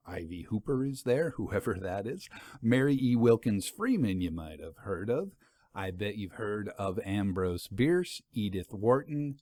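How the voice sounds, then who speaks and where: A man's voice: on the low side, a little mellow, no room sound. A man hosting a story podcast, talking to listeners in a conversational, musing way with rhetorical questions. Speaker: podcast host and anthology editor.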